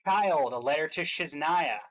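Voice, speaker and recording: A man's voice: fairly high pitch, lively intonation, a little slow, fairly bright tone. A man hosting a casual reaction show, explaining game trailers to his audience.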